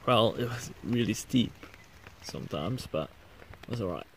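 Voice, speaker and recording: A young man with a Belgian accent recapping his hike in a casual, low-key, halting way, talking to a handheld camera inside a small tent. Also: lively intonation, fairly bright tone. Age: young adult. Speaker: hiker vlogger. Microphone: camera or phone at arm's length. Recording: clearly noisy small-camera recording.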